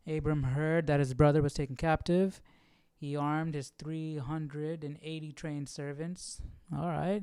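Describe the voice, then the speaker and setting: A man with a slightly high, clear voice hosts a Bible study. He reads aloud in a casual, halting way with asides, in a dry, close sound. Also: darkish tone, dry recording.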